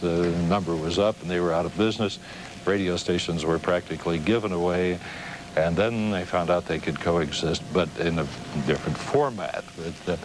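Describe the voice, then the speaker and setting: An American man, middle-aged or older, chatting casually as the host of a television interview. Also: sits low. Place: TV studio interview. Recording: noisy 1990s local TV broadcast.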